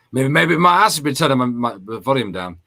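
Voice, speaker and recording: A man's voice: mid-range voice, very animated, normal speed, vocal fry, bright, forceful tone, slight background noise. A man, co-hosting a gaming stream in casual, sweary, joking banter. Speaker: co-host of a gaming radio stream.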